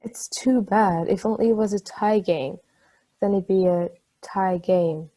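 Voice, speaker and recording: A woman's voice: mid-range voice, measured pace, lightly acted, poor audio. A woman acting an affectionate, brainy, playful partner in a casual, conversational table-read style. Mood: teasing. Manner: casual, conversational, gently teasing.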